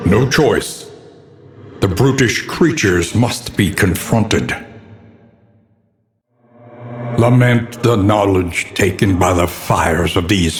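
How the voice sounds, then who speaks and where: Gothic horror game narrator, male: very slow, grave and portentous, deliberate theatrical diction, drenched in cavernous reverb. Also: fairly low pitch, a little mellow. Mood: grim, disdainful.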